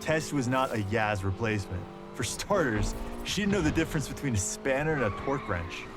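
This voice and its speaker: A man's voice: medium pitch, very animated, a little fast, lightly acted. An actor playing an easygoing, loyal young male street racer, trading teasing banter in a game cutscene. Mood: earnest. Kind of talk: naturalistic game cutscene dialogue. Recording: music behind the voice.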